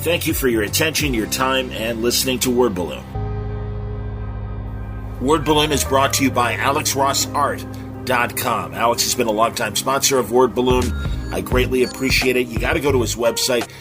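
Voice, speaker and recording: A man's voice: medium pitch, moderate pace, music in the background. A man hosting a podcast, speaking in an informal, earnest, slightly hesitant way as he makes announcements. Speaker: podcast host.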